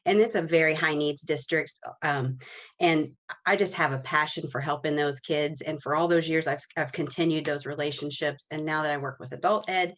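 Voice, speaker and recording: A woman's voice: low-pitched, steady tone, normal speed, a bit bright. A woman in her forties, a teacher and agency co-owner, answering as a guest in an earnest, heartfelt way. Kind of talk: casual interview answers.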